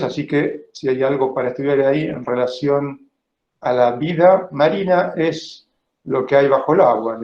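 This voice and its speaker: A man's voice: mid-range voice, darkish tone. Argentine man lecturing, roomy dull call audio. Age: 50s. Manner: conversational, often checking in with "¿no?". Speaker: biologist giving a talk. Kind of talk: informal illustrated lecture with personal recollections. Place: indoor room, online video call. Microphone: video call microphone.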